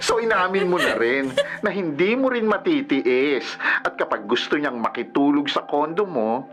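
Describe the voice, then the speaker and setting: A man's voice: fairly high pitch, big pitch swings, measured pace, fairly bright tone. An actor with a Filipino accent playing a nosy young male friend who teases and mocks playfully in a radio drama. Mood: playful, mocking. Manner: mocking, playful, exclaiming.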